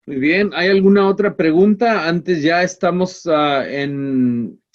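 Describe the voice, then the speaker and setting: Halting male moderator, slightly high voice. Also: lo-fi sound. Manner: halting, searching for words. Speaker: session moderator. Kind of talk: informal moderating of a question session.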